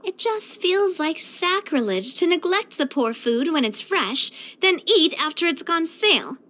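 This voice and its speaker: A young woman voicing a cheerful, elegant young lady leader in a video game, earnest, chatty and expressive. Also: very high voice, measured pace, full character voice. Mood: matter-of-fact. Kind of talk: video game character voice lines.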